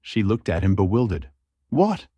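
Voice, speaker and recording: A man's voice: lowish voice, animated, moderate pace, no echo. A man reading scripted fantasy fiction as a storyteller, in a dramatic narration style.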